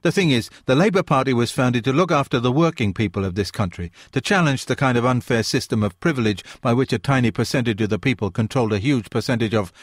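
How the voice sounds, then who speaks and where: A man voicing a blunt, opinionated bearded pub landlord who is quick to rant, in an audiobook reading. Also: medium pitch, no room sound, clean studio sound, lightly acted. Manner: gruff, quick-tempered ranting.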